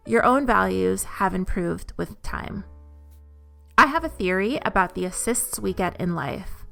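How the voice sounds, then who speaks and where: A woman's voice: mid-range voice, vocal fry, fairly bright tone. A woman reading short quotations as scripted narration.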